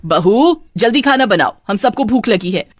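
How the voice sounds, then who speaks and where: Cartoon mother-in-law, played by a female narrator: older, bossy, demanding, quick to scold. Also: mid-range voice, expressive, no room sound, studio quality, lightly acted. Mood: impatient.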